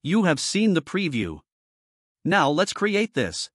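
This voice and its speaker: A man's voice: a little high, wildly swooping pitch, takes it slowly, a bit bright, slightly rough, dry recording, studio quality. A man presenting a programming tutorial in scripted step-by-step narration.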